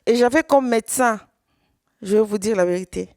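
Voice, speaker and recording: Halting woman with a gravelly voice. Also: a little high, darkish tone, no room sound, studio quality. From Congo. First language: Swahili. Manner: halting, with many repeated words and restarts. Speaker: survivor giving testimony. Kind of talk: personal testimony at a conference.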